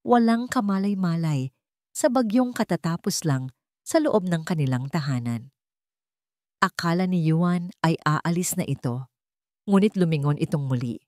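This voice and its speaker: Low-pitched woman narrating a dramatic story. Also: a little mellow, no echo, clean studio sound.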